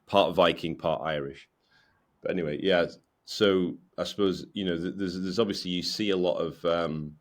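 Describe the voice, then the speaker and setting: A man hosting a podcast interview, from the UK, who repeats his words and restarts his questions often. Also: low-pitched. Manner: stammering repeated starts. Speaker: podcast host.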